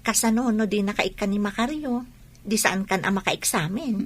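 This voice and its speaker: An actress with an Ilocano Filipino accent playing a concerned, questioning young student friend in a radio drama. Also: female voice, medium pitch, moderate pace, natural voice.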